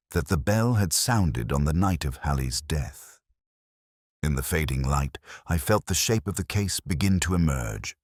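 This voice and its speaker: Very deep, brooding detective played by a man. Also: no echo, studio quality, heavily acted. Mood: brooding, reflective. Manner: measured, literary, brooding. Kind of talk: scripted first-person mystery narration.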